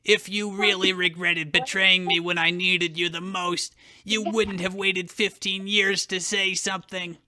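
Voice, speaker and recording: A man's voice: sits high, flat delivery, a little slow, hardly any pauses, pushed and bright, dry recording, heavily acted. A man voicing an angry, sarcastic young man, a betrayed son, with a scornful, snarling delivery in a fan fiction reading. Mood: bitter, scornful.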